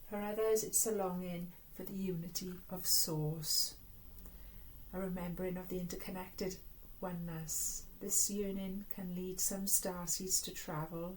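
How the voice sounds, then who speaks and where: A woman's voice: fairly low pitch, fairly even intonation, normal speed, a little hiss or hum, rough recording. A woman with a Welsh accent, giving an earnest, rambling spiritual reading in a casual, reflective way. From Wales. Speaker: spiritual reader. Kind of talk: casual spiritual talk to camera.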